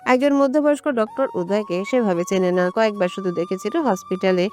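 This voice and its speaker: A woman's voice: medium pitch, brisk, darkish tone, soft background music. A woman narrating a romantic audio story from a script.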